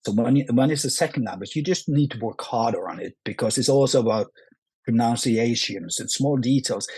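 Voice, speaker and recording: A man with a Norwegian accent, speaking English as a second language, answering interview questions in a halting way full of restarts and fillers. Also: medium pitch, darkish tone, husky, no echo. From Norway. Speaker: actor, guest. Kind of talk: casual interview.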